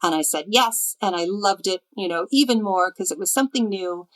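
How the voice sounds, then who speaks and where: A woman, chatting relaxed about her path as a translator in an interview, with frequent ums. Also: mid-range voice, a little fast. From United States, near Chicago. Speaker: literary translator, guest. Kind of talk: conversational interview storytelling.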